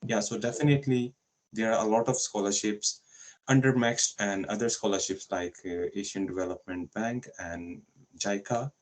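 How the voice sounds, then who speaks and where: A man on a video call explaining scholarship application routes in a steady, informative way. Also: fairly low pitch, moderate pace, poor audio. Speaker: scholarship advisor. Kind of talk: explaining scholarship tracks in a webinar.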